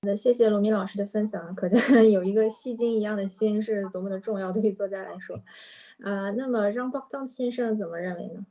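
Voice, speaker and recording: Female panel moderator, polite and brisk, mellow tone. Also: mid-range voice, fairly even intonation. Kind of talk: hosting a panel.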